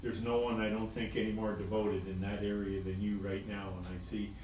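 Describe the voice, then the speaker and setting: A man presenting an award with halting, stop-start praise, recorded on a phone from the audience in a parlor. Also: fairly low pitch, level tone, darkish tone, a little hiss or hum. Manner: halting, repeats words, uses fillers. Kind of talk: presentation remarks. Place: parlor of an old house, indoors. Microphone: phone camera in the audience. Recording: very echoey room, distant phone recording.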